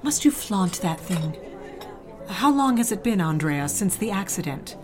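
A woman's voice: mid-range voice, sing-song, a little slow, music in the background. A woman playing a cold, disapproving older society mother in an audio drama, haughty and clipped in manner. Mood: scornful.

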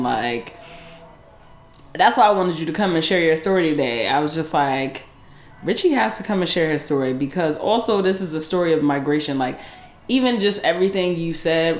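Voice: medium pitch, normal speed, echoey room. A podcast host chatting casually with a guest, affirming and cheering him on with laughter and the odd swear. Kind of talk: casual interview chat.

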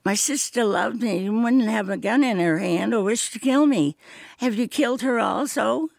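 A man voicing a frightened young wife who pleads with her captors in a high, strained voice. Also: moderate pace, vocal fry, dry recording, studio quality. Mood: pleading.

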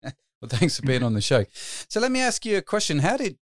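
A man's voice: a little high, big pitch swings, fairly quick, no echo, studio quality. A man hosting a podcast interview, asking eager, upbeat questions. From United Kingdom. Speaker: podcast host.

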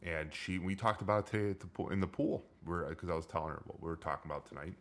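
A middle-aged man with a low voice hosting a casual chat, joking and rambling with many restarts. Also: quick pace, fairly bright tone. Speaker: podcast host.

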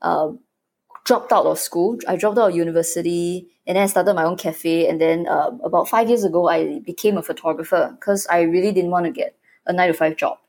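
A woman's voice: on the low side, a little fast, a little mellow. A young Singaporean woman co-hosting a podcast, chatting casually and laughing often, with Singlish particles in her talk. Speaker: podcast co-host. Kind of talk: casual chat.

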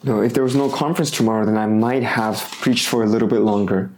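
Hoarse pastor with a cold, halting sermon. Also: male voice, lowish voice, fairly even intonation, a little mellow, slight background noise. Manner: halting, with frequent restarts and fillers.

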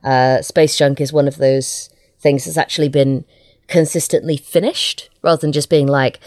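A woman with a low voice answers interview questions in a casual way. She feels her way through sentences, stopping and rephrasing. The sound is dry and close, with very little room on it. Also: fairly even intonation, a little mellow, no echo. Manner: halting, self-correcting. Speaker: interview guest, audio drama writer.

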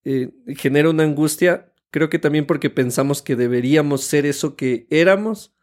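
Higher-voiced Ecuadorian man, podcast host. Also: moderate pace. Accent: Ecuadorian Spanish. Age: 30s. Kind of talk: conversational podcast chat.

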